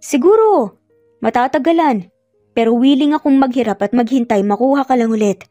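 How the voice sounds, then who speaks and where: A woman's voice: sits high, expressive, moderate pace, warm tone, dry recording, soft background music. A woman voicing a remorseful, pleading young male boss in love, in a dramatic romance story. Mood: earnest, tender.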